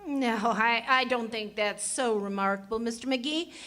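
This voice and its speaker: A woman's voice: on the high side, moderate pace, a bit bright, lightly acted. A woman playing a genteel, haughty society lady who is condescendingly polite, in 1940s radio comedy style. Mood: proud storytelling.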